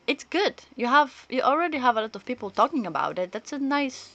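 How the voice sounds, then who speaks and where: A woman's voice: high voice, very animated, a little fast, sharp tone, no echo, lo-fi sound. Woman streamer chatting, close dry sound. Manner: rambling, thinking aloud. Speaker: video game livestreamer. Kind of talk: casual chat and reactions while playing.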